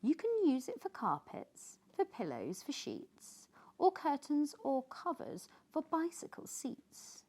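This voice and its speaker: A woman's voice: high-pitched, wildly swooping pitch, slow pace, darkish tone, voice pushed up, full character voice. A woman with a British accent voicing a greedy, boastful male salesman in a storybook, smug and persuasive. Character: greedy, boastful male tree-chopping salesman telling his tale.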